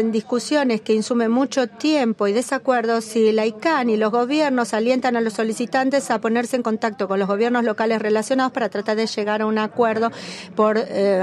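Higher-voiced woman interpreting a meeting. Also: darkish tone. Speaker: simultaneous interpreter at a policy meeting. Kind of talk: simultaneous interpretation of a formal meeting.

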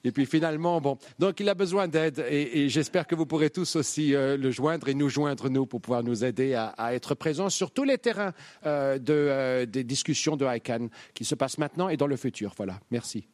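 A man finishing a talk at a conference, hesitant and pausing on fillers. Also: mid-range voice, animated, darkish tone. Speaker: conference speaker.

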